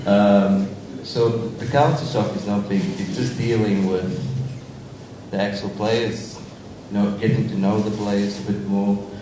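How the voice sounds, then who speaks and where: Really echoey far off mic, south african bloke with a lowish voice, coach answering press. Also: male voice, flat delivery, unhurried. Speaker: cricket coach. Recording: very echoey, distant, noisy room.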